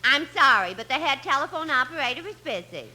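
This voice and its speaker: A woman's voice: sits high, very animated, brisk, sharp tone, heavily acted. A woman playing a sassy, sarcastic telephone operator who wisecracks dryly, in a very noisy 1950s radio comedy. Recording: very noisy old radio recording.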